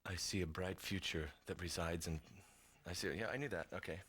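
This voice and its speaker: A man voicing a deep-voiced male seer in a game, theatrical and gravelly, proclaiming the future. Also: fairly quick, fairly bright tone, a little hiss or hum. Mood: grandiose.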